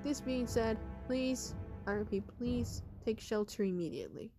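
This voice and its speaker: A woman playing a grave TV emergency alert announcer, speaking in a solemn, formal, halting way. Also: fairly high pitch, music in the background, lightly acted. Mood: grave, urgent.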